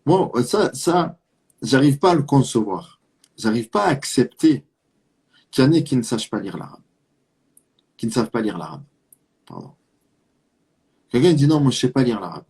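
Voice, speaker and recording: A man's voice: medium pitch, lively intonation, moderate pace, pauses often, darkish tone, husky. A man from Marseille with a southern French accent giving an earnest religious talk, urging the listener with rhetorical questions and repetition. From France (Marseille). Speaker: Islamic preacher.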